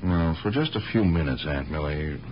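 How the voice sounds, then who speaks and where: An actor playing an exasperated police detective and devoted nephew, blunt, wry and pleading, in the style of 1970s radio drama. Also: male voice, a bass voice, animated, normal speed. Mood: exasperated, urgent. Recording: dull, noisy 1970s radio broadcast recording.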